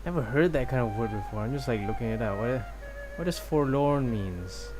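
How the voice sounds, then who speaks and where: A man's voice: mid-range voice, animated, normal speed, low-quality recording. Casual man streaming over game music. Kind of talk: casual live game commentary, reading dialogue aloud.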